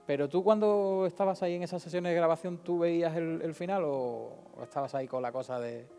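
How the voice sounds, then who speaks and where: A man's voice: a little high, creaky, warm tone, clear, resonant tone. Casting: male event presenter, chatty and hesitant, speaking in a reverberant hall with faint music. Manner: hesitant, halting, repetitive. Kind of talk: informal stage interview. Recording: echoey hall with music behind.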